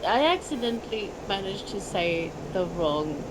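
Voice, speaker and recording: A woman's voice: mid-range voice, very animated, measured pace. A groggy woman who just woke up, chatting and telling a self-mocking story during a game stream. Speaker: stream guest. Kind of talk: casual gaming chat. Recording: noisy voice chat audio.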